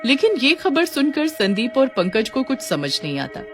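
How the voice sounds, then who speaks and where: A woman's voice: high-pitched, big pitch swings, moderate pace, faint music behind. A woman reading scripted narration for a cartoon story.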